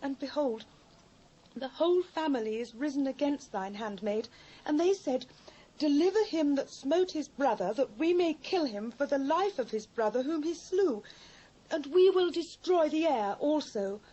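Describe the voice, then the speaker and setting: A British actress playing a humble, pleading widow in mourning in a dramatised Bible, with formal archaic phrasing. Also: female voice, high voice, lively intonation, normal speed, mellow. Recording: dull old cassette transfer.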